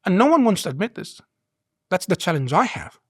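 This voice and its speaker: A man's voice: high voice, sing-song, brisk, breathy edge, no room sound, studio quality. A middle-aged man from Egypt with an American-schooled English accent, talking earnestly in an interview and filling his speech with "you know". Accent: mixed Egyptian and American-schooled English. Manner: earnest, many "you know" fillers, quiet trailing asides. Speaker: guest. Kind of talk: conversational interview.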